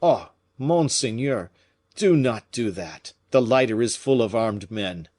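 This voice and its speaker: A man's voice: mid-range voice, lively intonation, takes it slowly, darkish tone. A man reading an audiobook, voicing a nobleman's anxious, loyal aide who urges caution. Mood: pleading. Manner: urgent, pleading.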